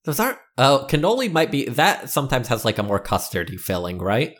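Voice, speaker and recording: A man co-hosting a podcast, chatting casually and thinking out loud as he goes. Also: mid-range voice, wildly swooping pitch, fairly quick. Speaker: podcast co-host. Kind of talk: casual chat.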